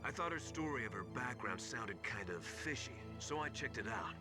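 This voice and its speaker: An actor playing a seasoned, sober military mentor in a video game, explaining things in a measured way. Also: male voice, mid-range voice, expressive, natural voice. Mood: grave, confiding. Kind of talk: dramatic video game voice acting. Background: game music.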